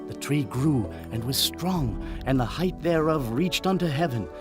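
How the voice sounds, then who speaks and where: A proud old-world king, kinda high voice, big declaring delivery, music playing under it. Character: proud ancient king, commanding and awestruck. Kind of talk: dramatized scripture reading. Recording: clear music bed behind.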